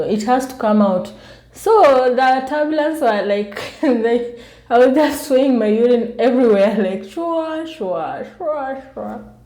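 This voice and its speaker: A soft-spoken woman with a Kenyan accent chats casually to her viewers, telling personal stories in a self-amused way. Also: a little high, echoey room. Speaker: YouTube vlogger. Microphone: newly bought external microphone.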